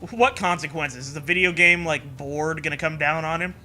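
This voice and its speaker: Bright, forceful man reading with mockery. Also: on the high side, moderate pace, faint music behind. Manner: sarcastic, foul-mouthed, exaggerated. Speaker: co-host of a comedic read-along. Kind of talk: mocking dramatic reading with riffing commentary.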